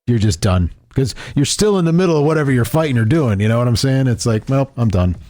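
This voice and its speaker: Middle-aged male podcast host, warm low-key tone, talks fast and loose, many restarts. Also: expressive. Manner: rambling, loose, lots of fillers and restarts. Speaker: host presenting a tabletop game. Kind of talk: casual podcast chat. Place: shop or studio room.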